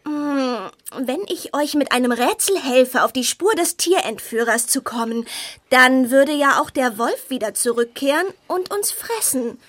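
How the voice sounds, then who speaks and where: A woman's voice: sits high, lively intonation, unhurried, a bit bright, exaggerated voice. An actress playing a plucky, clever, eager little girl in a children's fairy-tale audio drama, with a keen, girlish manner. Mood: crafty.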